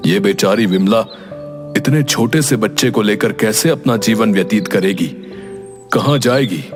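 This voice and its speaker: A man voicing a slow-witted but kindly village wrestler with a simple, plain-spoken manner in an animated folk tale. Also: lowish voice, close to an everyday voice. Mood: remorseful. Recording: music behind the voice.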